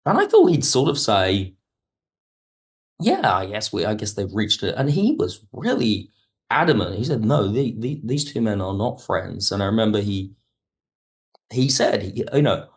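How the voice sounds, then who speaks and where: A man's voice: lowish voice, very animated, moderate pace. A man speaking as a writer guest in a reflective podcast interview, circling back and rephrasing as he explains. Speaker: guest, audio drama writer.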